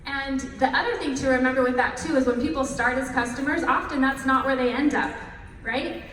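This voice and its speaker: A woman's voice: sits high, a little fast, a bit bright, slight background noise. A woman hosting a breakout session, speaking to an audience in an upbeat, motivational way. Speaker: session host. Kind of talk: presentation to an audience. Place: large echoey hall. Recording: roomy hall recording from a distance.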